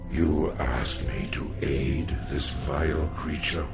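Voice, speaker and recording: A man playing an ancient, solemn alien warrior in a video game, speaking gravely and formally with a deep gravelly voice. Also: steady tone. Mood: grave, reluctant. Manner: slow, grave, formal speech. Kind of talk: dramatic video game acting. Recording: loud game music underneath.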